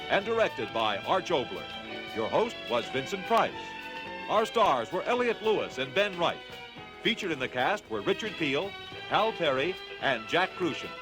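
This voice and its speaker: A man's voice: fairly high pitch, low-quality recording. A man announcing the closing credits of a radio theater program from a script. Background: theme music.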